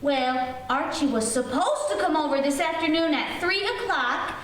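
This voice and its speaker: Woman as high-voiced teenage radio girl. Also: animated, moderate pace, a bit bright. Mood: polite, brisk. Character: polite, bossy teenage girl in radio comedy. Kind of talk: 1940s radio comedy acting. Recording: echoey, distant, slightly noisy recording.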